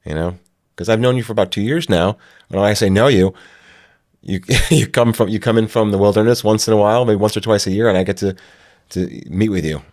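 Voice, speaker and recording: A man's voice: fairly low pitch, a little fast, a little mellow. A man hosting an interview, talking in a reflective way and asking follow-up questions. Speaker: host. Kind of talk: casual interview conversation.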